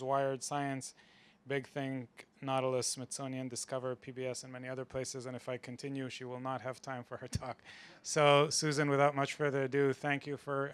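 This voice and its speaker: A man hosting a lecture event, reading out the guest speaker's introduction in a steady voice, working through a list of credits. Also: mid-range voice, clean tone. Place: lecture hall.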